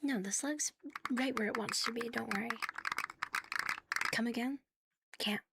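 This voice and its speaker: A woman hosting a live ASMR stream, chatting casually and playfully in a soft, breathy voice that often slips into a whisper. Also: fairly high pitch, measured pace, very noisy. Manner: playful, giggly, teasing. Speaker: ASMR livestream host.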